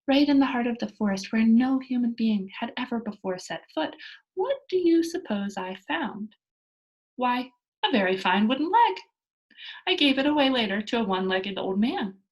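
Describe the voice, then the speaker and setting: A woman's voice: a little high, dull audio, close to an everyday voice. A woman voicing a bold, cheerful young girl adventurer from a children's storybook, with a breezy, boastful manner. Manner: breezy, boastful, matter-of-fact. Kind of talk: children's storybook character read aloud.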